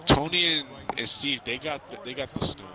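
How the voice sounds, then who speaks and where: A man's voice: medium pitch, normal speed, poor audio. Guy casually talking thru a movie, keeps restarting phrases, basketball game crowd going behind him. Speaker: video host. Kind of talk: casual chat, movie review over a video game. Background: basketball video game crowd and ball bounces.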